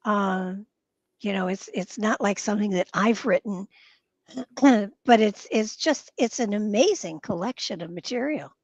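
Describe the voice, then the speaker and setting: A woman's voice: mid-range voice, lively intonation, unhurried, no room sound, muffled recording. A woman speaking casually and conversationally as an interview guest.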